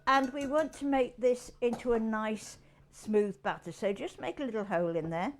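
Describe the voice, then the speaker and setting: A woman's voice: a little high, normal speed, slight background noise. An older woman with a Yorkshire accent, hosting a casual cooking demonstration in her home kitchen, chatting with rambling asides as she works. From England. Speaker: cooking show host.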